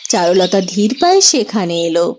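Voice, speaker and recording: A woman's voice: mid-range voice, very animated, brisk, background noise. A woman narrating a scripted children's fairytale as the storyteller. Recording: loud music bed behind the voice.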